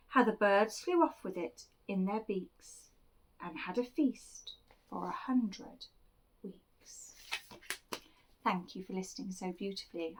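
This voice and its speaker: Woman, children's storyteller, very slow deliberate pace, lively swooping intonation, expressive rhyming read-aloud. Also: medium pitch, rough recording. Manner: expressive, deliberate, dramatic emphasis. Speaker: storyteller reading a picture book to children. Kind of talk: animated children's story reading, rhyming verse.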